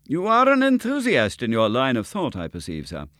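A man voicing a brisk, confident male detective who speaks in a precise, declamatory way in an audiobook reading. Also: medium pitch, wildly swooping pitch, a bit bright, no room sound, studio quality, voice pushed up.

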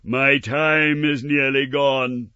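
A tormented chained spectre, put on by a man reading a storybook, who wails in slow, drawn-out cries. The voice climbs well above the reader's own. It sounds bright, dry and a little dull. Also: mid-range voice, little pitch movement, clean tone, no echo, voice pushed up. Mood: mournful. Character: wailing chained male ghost, anguished and solemn.

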